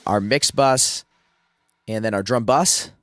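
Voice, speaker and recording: Sick man hoarsely explaining drum mixing. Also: fairly low pitch, takes it slowly, faint music behind. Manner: casual, rambling with fillers and restarts. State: sick, losing his voice. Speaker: music producer teaching drum mixing. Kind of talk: screen-share mixing tutorial.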